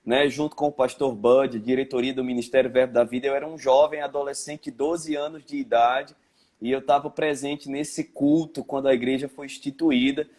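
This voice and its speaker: A man's voice: medium pitch, fairly even intonation, normal speed, darkish tone. A man in his thirties from Caruaru with a slightly mixed Pernambuco accent, a pastor talking with heartfelt, grateful emotion on a church livestream, full of "né" and "cara". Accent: Pernambuco Portuguese, slightly mixed. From Caruaru, Brazil. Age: 30s. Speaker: pastor, invited guest.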